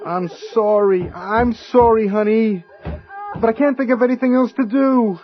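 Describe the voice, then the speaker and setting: An actor playing a desperate, frightened husband in a radio horror drama, stammering and overwrought. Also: male voice, extremely high pitch, steady tone, normal speed, dull audio. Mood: anguished, pleading. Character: desperate, frightened husband pleading with his mad wife.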